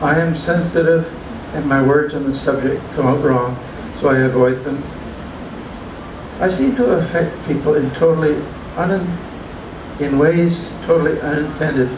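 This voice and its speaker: An older man reading his own poems at an open mic in a small restaurant, halting and plain, stumbling and restarting now and then. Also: on the high side, steady tone. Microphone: stand mic, filmed from a far camera. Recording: echoey room, distant mic, background hum.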